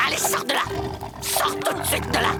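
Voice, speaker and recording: A man voicing an irritable, territorial animal in a cartoon dub, blustering and shouty. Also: moderate pace, over loud music, natural voice. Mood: angry.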